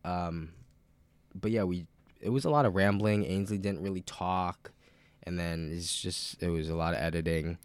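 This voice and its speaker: A podcast host who is sick with a cold and sounds nasal, talking in a loose, rambling, profane way with lots of fillers. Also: male voice, deep voice, normal speed, clean tone, no room sound. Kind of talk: casual rambling chat.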